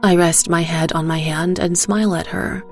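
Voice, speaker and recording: A woman narrating a dark fairy tale in the first person, with measured, hushed suspense. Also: fairly low pitch, steady tone, faint music behind. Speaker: story narrator.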